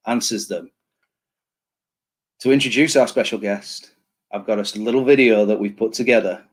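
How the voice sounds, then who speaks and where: Host, man, chatty, hypes the guest, mid pitch, lots of ums. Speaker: show host. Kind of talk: casual online interview show.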